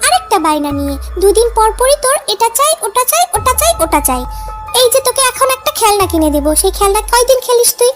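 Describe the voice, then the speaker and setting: A woman acts out an exasperated cartoon mother who scolds her child. The voice is very high, with loud music underneath. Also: big pitch swings, fast talker. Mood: scolding.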